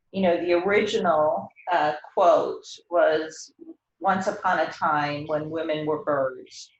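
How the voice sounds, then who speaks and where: A middle-aged woman talking reflectively and intimately about poetry, gently and contemplatively, with hesitant pauses. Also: low voice, slight background noise. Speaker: host reading and discussing poetry. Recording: roomy, slightly dull sound.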